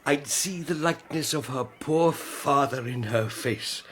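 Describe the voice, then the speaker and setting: An actor playing a gruff, emotional old grandfather in a period radio drama, sputtering and repeating himself. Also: medium pitch, unhurried, fairly bright tone, slight background noise, lightly acted. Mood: blustering, excited. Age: older.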